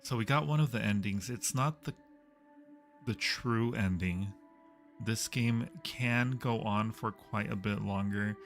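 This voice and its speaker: A man's voice: on the low side, measured pace. A man hosting a casual game livestream, chatting playfully and directly with his viewers. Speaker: livestream host. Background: background music.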